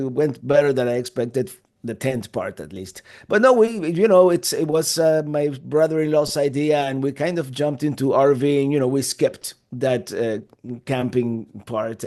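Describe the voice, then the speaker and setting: A man in his fifties from Cuba, a guest answering interview questions in a relaxed, rambling way with many restarts. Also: mid-range voice.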